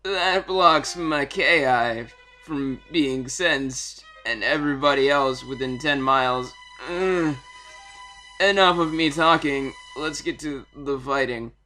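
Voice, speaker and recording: A man voicing a gloating, impatient alien warrior villain in a theatrical, drawn-out way, as in a fan fiction reading. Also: mid-range voice, pushed and bright, faint music behind, rough recording. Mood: smug, impatient.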